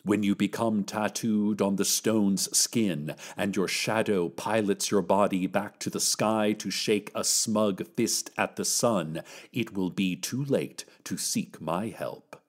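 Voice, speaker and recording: A man's voice: on the low side, steady tone, takes it slowly, few pauses. A man playing a solemn, cryptic keeper of a magical stairway, giving formal, ominous warnings in audio fiction. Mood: grave warning. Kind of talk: scripted audio-fiction monologue.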